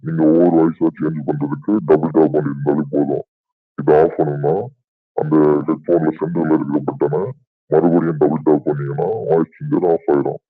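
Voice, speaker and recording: A man's voice: little pitch movement, fairly quick, no echo. A man speaking through a voice changer's monster preset, demonstrating the product. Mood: flat, instructive. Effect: electronic pitch-lowering voice changer. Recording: muffled voice-changer audio, music behind.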